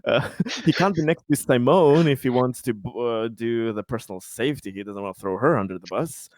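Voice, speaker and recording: A man's voice: medium pitch, a little fast, darkish tone, no echo. A man playing a tabletop game, bantering casually in a joking, mock-polite manner with hesitant restarts.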